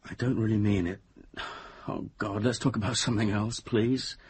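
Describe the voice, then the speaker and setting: An actor in a radio drama playing a sensible young man, a boyfriend with a breathy, husky voice and a conversational manner. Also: lowish voice, fairly even intonation, normal speed, darkish tone. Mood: dismayed. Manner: conversational, placating, turning exasperated.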